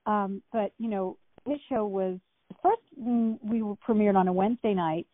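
A woman's voice: mid-range voice, moderate pace, no room sound. A woman talking as an actress guest in a casual interview, chatty and rambling with many fillers and restarts. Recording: tinny phone-like line.

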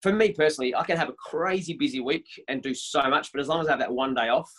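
A man in his thirties with a higher voice, a worship leader talking casually and eagerly in an interview, often restarting his sentences. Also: fast, fairly bright tone. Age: 30s. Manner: enthusiastic, many restarts and repeated words.

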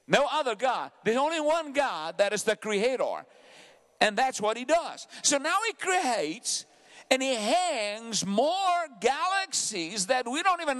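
South African preacher with a lively high voice. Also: male voice. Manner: dramatic, swinging from hushed asides to loud climbs. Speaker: pastor. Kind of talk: sermon.

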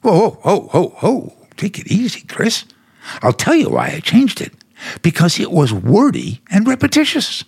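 A gravelly, raspy American radio producer, brusque and blustering. The pitch sits fairly high and leaps about wildly, in the manner of 1950s radio drama. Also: male voice, wildly swooping pitch, normal speed, no echo, clean studio sound, heavily acted. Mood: defensive, patronizing. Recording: vintage 1950s radio recording.

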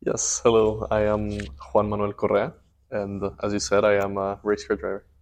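A man in his twenties, born in Ecuador and raised in Miami, answering interview questions calmly and matter-of-factly. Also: lowish voice, normal speed, slight background noise. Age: 20s. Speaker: guest, race car driver.

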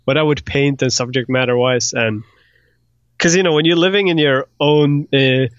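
A man's voice: medium pitch, moderate pace, dry recording. A man from Sweden chatting casually as an interview guest, on a slightly lo-fi recording. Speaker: guest, concept artist.

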